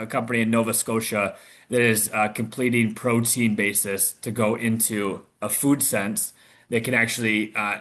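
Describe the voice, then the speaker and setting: A man from Michigan, an investor answering questions on a video call, talking haltingly with many restarts and fillers. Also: lowish voice, bright, forceful tone. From Michigan, USA. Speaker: investor guest.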